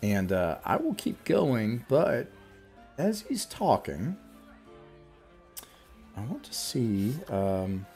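A man's voice: mid-range voice, sing-song, drawn-out words, long pauses, darkish tone, faint music behind. A man with a scratchy voice narrating as game master in an online tabletop game, casual and hesitant. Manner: casual, hesitant, many fillers. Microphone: video call webcam.

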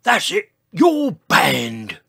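A reader voicing a grumpy, bossy male dinosaur who protests and complains loudly in a children's storybook. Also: mid-range voice, huge pitch swings, very slow, vocal fry, slightly rough, no room sound, clean studio sound, voice pushed up, full character voice. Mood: outraged. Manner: loud, protesting, exclaiming.

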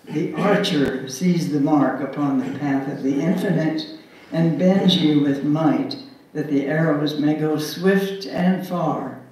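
A woman's voice: deep voice, takes it slowly, mellow. Echoey, distant older woman leading worship. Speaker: worship service leader. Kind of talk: formal responsive reading and service announcements. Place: church sanctuary, indoors. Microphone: pulpit microphone, recorded by far camera. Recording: very echoey, distant, faint room noise.

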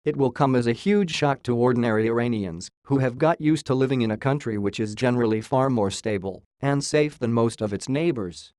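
A man's voice: mid-range voice, brisk, hardly any pauses, dry recording. Synthetic-sounding man reading news, dry sound. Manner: flat synthetic read-out, spells out abbreviations and symbols. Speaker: news reader. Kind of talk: scripted news narration.

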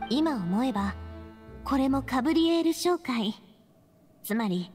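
A woman playing a poised, warm-hearted young lady leader in an anime game, speaking gently and with assurance. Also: high voice, animated, unhurried, big-room sound, music in the background. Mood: friendly, assured.

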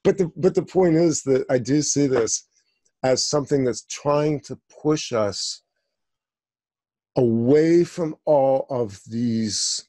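Rambling older man, mellow voice. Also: mid-range voice, slow pace, dry recording. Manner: rambling, digressive, with many fillers. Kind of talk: conversational discussion.

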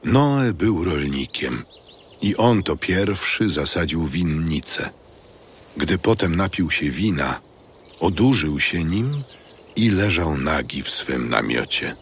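A man with an extremely low voice narrates scripture gravely and slowly. Each phrase is measured and solemn, over faint ambience. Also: sing-song, a bit bright, a little hiss or hum.

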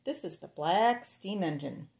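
A woman's voice: fairly low pitch, animated, a little slow, darkish tone. A woman reading a picture book aloud to small children, sing-song and playful, speaking to them directly. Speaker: children's storyteller.

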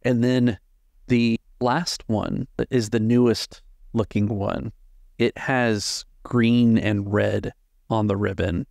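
A man improvising narration as a tabletop game master, in a measured, halting way with drawn-out words. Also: fairly low pitch, steady tone, gravelly, a little mellow, no echo.

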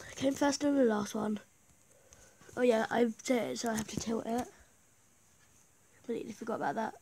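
A gaming YouTuber with a high voice, affected by a cold, giving casual, rambling, excitable gameplay commentary. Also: a little hiss or hum. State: has a bad cold.

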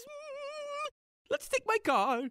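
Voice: very high-pitched, wildly swooping pitch, drawn-out words, a bit bright, no room sound, clean studio sound, exaggerated voice. A performer voicing a chirpy, eager, polite helper in an audio drama, in a cartoonish voice. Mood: delighted.

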